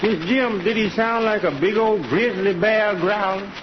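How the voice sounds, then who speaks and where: Ranch helper, played by a man: high voice, humble, folksy, noisy vintage recording. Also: fairly even intonation, moderate pace, close to an everyday voice. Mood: eager, helpful. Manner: folksy, deferential. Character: simple, deferential ranch hand. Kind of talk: old-time radio Western acting. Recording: noisy, muffled old radio recording.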